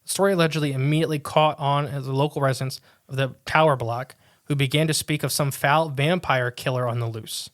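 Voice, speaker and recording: A man's voice: medium pitch, steady tone, fairly quick. A man co-hosting a podcast, reading a strange tale aloud with casual asides, often tripping over words and restarting. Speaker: podcast co-host.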